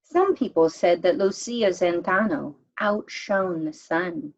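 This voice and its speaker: A woman reading a children's picture book aloud in a measured, expressive storytime manner, as if to young listeners. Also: on the low side, muffled recording. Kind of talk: read-aloud storytime narration.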